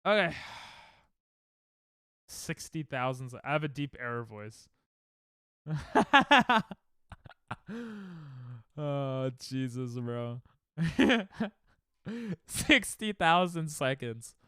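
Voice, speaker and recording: A man's voice: medium pitch, sing-song, extremely slow, pauses often, fairly bright tone, clear, resonant tone, no echo, clean studio sound. A man streaming a game, chatting casually and sarcastically with his viewers. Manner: sarcastic, joking banter with chat. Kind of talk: casual live-stream chat while gaming.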